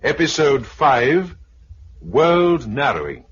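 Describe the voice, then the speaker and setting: A man's voice: on the high side, very animated, takes it slowly. A man making a formal announcement in the style of 1950s radio. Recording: muffled vintage radio, loud music behind.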